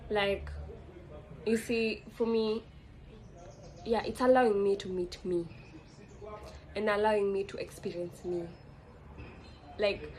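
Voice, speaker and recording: A woman's voice: medium pitch, a little mellow, poor audio. A young woman with a Kenyan accent talks casually, rambling with lots of fillers and restarts. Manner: rambling, frequent fillers and self-corrections. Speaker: vlogger. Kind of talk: casual reflective monologue.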